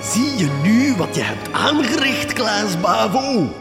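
An actor playing a menacing, mocking villain in a gothic horror comedy radio drama, speaking in a theatrical, declamatory way. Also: male voice, high-pitched, big pitch swings, slow, heavily acted. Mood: ominous. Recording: echoey hall reverb, music behind.

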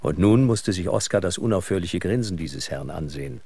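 A man's voice: deep voice, lively intonation, a little fast, vocal fry, a little mellow, husky. A man delivering scripted literary narration in a radio play.

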